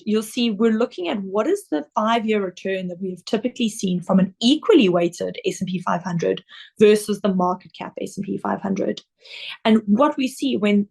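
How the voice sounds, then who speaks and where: A woman with a South African accent, an investment director giving a webinar presentation over slides in a measured, explanatory way with occasional ums. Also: medium pitch, vocal fry, darkish tone.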